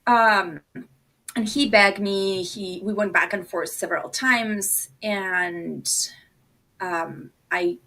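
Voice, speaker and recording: A woman's voice: mid-range voice, takes it slowly, pushed and bright, rough recording. A middle-aged woman from Argentina, a native Spanish speaker, telling stories casually and animatedly, with self-deprecating asides and acted-out dialogue. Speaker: podcast guest, designer and producer. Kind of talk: casual conversational storytelling.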